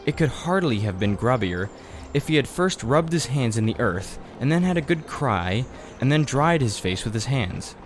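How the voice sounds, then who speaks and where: A man reading a children's story aloud in expressive narration. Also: mid-range voice, moderate pace, hardly any pauses, faint music behind. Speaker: audiobook reader. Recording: faint background noise.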